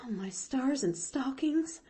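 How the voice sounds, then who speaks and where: A woman's voice: on the high side, animated, takes it slowly, dark tone, pitched above the performer's own voice. A woman voicing a kindly, fretful servant with rustic, exclamatory speech, as in an audiobook. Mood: shocked. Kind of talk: audiobook character voice.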